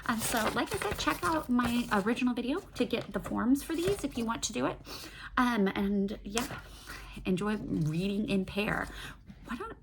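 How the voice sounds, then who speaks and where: A woman's voice: fairly high pitch, expressive, moderate pace, creaky, background noise. A woman talking off the cuff in a casual book-review vlog, rambling a little, cutting into her own sentences and putting questions to herself. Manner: rambling, self-interrupting, asks herself questions. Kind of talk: casual book-talk vlog, unscripted chat.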